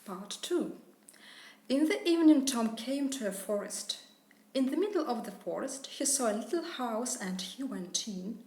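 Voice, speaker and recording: Woman, English tutor reading a bedtime tale, slow and clear, echoey room. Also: a little high, animated, darkish tone. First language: Russian. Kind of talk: reading a children's fairy tale aloud. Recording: roomy echo.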